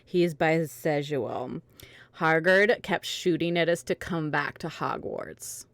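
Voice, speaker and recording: A woman's voice: low voice, slow pace, no echo. A woman hosting and narrating an amateur dramatic reading, with casual chat along the way. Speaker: host and narrator.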